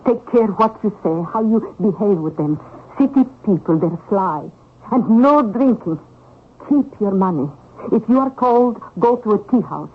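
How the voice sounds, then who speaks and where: A thin, narrow old radio recording carries an elderly Russian peasant mother. Her voice is husky and emphatic, full of fretful, pious admonishing. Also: medium pitch, expressive, a little slow. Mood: stern, anxious. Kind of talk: old-time radio drama acting.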